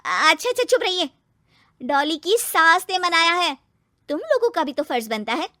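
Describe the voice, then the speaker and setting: A woman plays a young cartoon daughter-in-law who feels put upon. She grumbles and whines in a very high voice that swings animatedly up and down. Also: big pitch swings, moderate pace, pushed and bright, no echo, clean studio sound, exaggerated voice. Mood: defensive. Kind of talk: cartoon story character acting.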